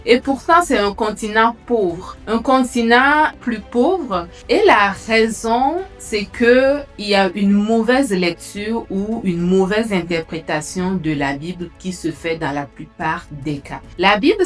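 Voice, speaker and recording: Chatty woman host with soft music. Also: medium pitch, expressive, slow, hardly any pauses. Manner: conversational, asks the listener questions. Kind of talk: casual direct-to-camera talk.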